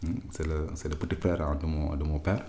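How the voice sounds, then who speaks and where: A man's voice: extremely low pitch, steady tone, fairly quick, mellow. A man from Senegal with a Senegalese French accent, recalling his past in an interview, hesitant and full of fillers and restarts. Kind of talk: personal recollection.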